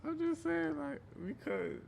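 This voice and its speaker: A man's voice: very high-pitched, animated, a little slow, gravelly, a little mellow. A man from Haiti who moved to Miami, chatting casually as an interview guest, playful and slangy with frequent swearing. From born in Haiti, later moved to Miami. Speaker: interview guest, music artist. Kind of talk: casual chat.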